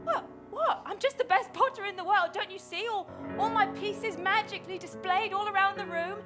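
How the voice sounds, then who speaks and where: A woman's voice: extremely high pitch, normal speed. A woman playing a naive, eager young potter in a comic pantomime, flustered and exclamatory, stumbling over her words. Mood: boastful, flustered. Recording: music and audience noise behind. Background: music and crowd.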